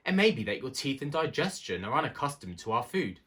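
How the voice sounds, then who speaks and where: A man's voice: mid-range voice, big pitch swings, brisk, fairly bright tone, pitched above the performer's own voice. A man voicing a well-bred, fussy male town mouse in a storybook, formal and condescending. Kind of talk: storybook character voice.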